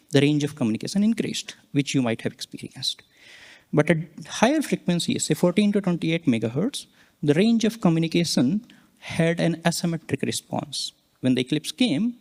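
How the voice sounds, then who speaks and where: A man with a slightly high voice presents scientific research at a conference. He explains his data step by step, in phrases that halt and pick up again. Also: darkish tone.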